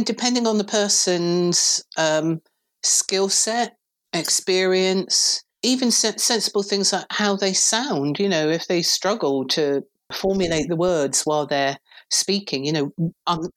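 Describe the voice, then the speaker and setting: A woman in her fifties from England with a very deep voice, a PR director talking thoughtfully as a podcast guest. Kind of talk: relaxed conversational interview.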